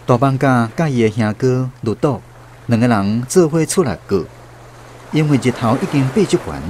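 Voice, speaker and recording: A man's voice: mid-range voice, lively intonation, normal speed, darkish tone, slight background noise. A man with a Taiwanese accent narrating a literary radio drama.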